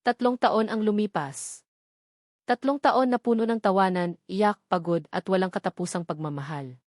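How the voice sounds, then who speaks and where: A woman's voice: mid-range voice, normal speed, dry recording, studio quality. A woman reading a scripted story as its narrator.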